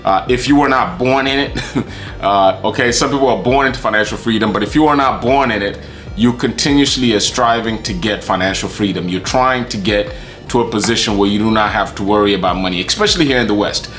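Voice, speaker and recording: A man's voice: lowish voice, brisk, fairly bright tone, faint music behind. A man from Kenya giving a casual, conversational monologue as host of his channel, often repeating words and restarting sentences. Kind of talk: casual talking-head monologue.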